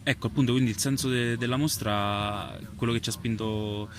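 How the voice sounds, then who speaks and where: A man gives spontaneous, explanatory interview answers, pausing and hesitating as he goes. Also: mid-range voice, fairly even intonation. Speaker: photographer and exhibition curator being interviewed. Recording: clear ambient noise behind the voice.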